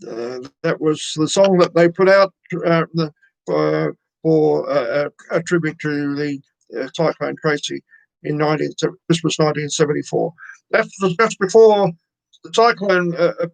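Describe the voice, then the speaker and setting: A man's voice: on the high side, creaky, no echo, poor audio. An older Australian man presenting a radio show, chatting casually between songs with lots of ums and uhs. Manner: halting, many ums and uhs.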